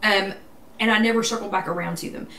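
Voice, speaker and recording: A woman hosting a casual live chat, chatty and emphatic, repeating herself for effect and now and then coughing or clearing her throat. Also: mid-range voice, fairly even intonation, quick pace, fairly bright tone. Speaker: vlog host. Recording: echoey room, distant mic, faint noise.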